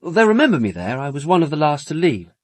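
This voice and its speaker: A man's voice: a little high, big pitch swings, fast talker, no room sound. An actor playing an effusive, evasive young man with an English accent in a radio drama, glib and casual in manner. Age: young adult. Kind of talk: British radio drama dialogue.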